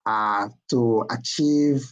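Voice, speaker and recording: A man with a medium-pitched voice introduces himself as a career coach on an online panel. He speaks in a measured way and pauses often. He is heard through a video call mic. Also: highs cut off.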